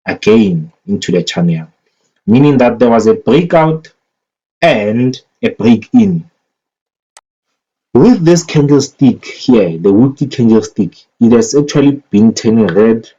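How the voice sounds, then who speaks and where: Man, unhurried pace, soft-edged tone, casual analyst commentary, hesitant, repeats himself, slightly muffled lo-fi mic. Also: on the low side. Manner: hesitant, thinking aloud, repeats phrases. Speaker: market analyst presenting chart analysis. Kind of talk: casual unscripted screen-share commentary. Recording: dull lo-fi microphone sound.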